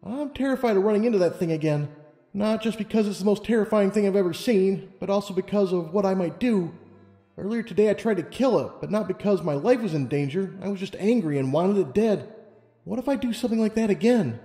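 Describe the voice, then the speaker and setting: Man voicing an anxious, high-pitched young female unicorn. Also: expressive, a little fast, dark tone. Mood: afraid, troubled. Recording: long reverb, music underneath.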